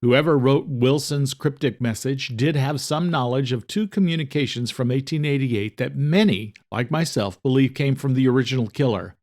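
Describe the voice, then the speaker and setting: A male audiobook narrator with a medium-pitched voice. He reads a scripted thriller in a measured, dramatic storytelling style. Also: a little mellow.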